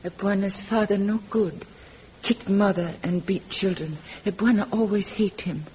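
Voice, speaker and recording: African tribeswoman in halting broken English, acted by a woman. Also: mid-range voice, mellow, close to an everyday voice. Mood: bitter. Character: simple, bitter African tribeswoman who hates men. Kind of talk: vintage radio adventure drama acting. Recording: dull, noisy vintage radio recording.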